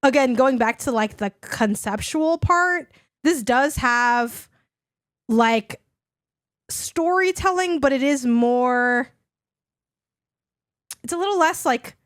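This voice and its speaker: A hoarse young woman co-hosting a podcast, chatting casually and rambling with lots of "like". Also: high-pitched, expressive, takes it slowly, pauses often, no room sound, studio quality. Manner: rambling, heavy use of "like". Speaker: podcast co-host. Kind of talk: casual chat.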